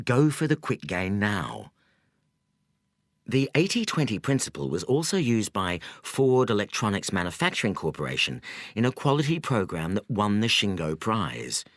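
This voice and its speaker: A man narrating a nonfiction audiobook from a script in a measured, instructive way. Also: lowish voice, big pitch swings, no echo, studio quality.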